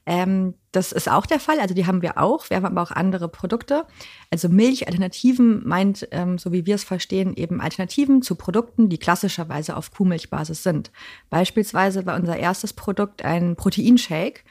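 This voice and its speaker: A young adult woman, a founder, talking casually in a podcast interview, chatty with many fillers and quick asides. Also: on the low side, darkish tone, husky.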